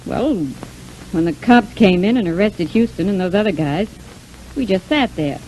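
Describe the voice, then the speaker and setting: A woman's voice: mid-range voice, animated, moderate pace, darkish tone, lightly acted. Brash, defensive showgirl played by an actress. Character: Billy, brash, defensive, scheming young showgirl. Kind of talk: 1940s radio serial acting. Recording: noisy old 1940s radio recording.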